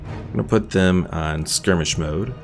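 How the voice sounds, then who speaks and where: A man's voice: deep voice, a little slow, gravelly, music in the background. A man giving casual, chatty live commentary on a game he plays and reading out viewer comments. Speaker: game streamer.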